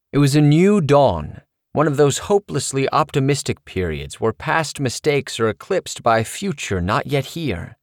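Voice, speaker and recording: Middle-aged male memoir narrator, expressive, swoopy delivery, dead-dry sound. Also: mid-range voice, no echo. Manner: animated, expressive storytelling.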